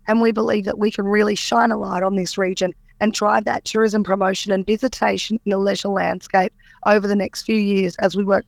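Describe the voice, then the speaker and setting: An Australian woman who runs a tourism organisation, answering radio interview questions in an upbeat, promotional way with a croaky voice. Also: mid-range voice, no room sound. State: croaky voice after a weekend event.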